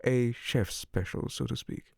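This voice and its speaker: Slow, low, gravelly male incubus, played by a man, teasing and predatory, dry close ASMR sound. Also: darkish tone, dry recording. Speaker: ASMR roleplay voice actor. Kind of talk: ASMR roleplay.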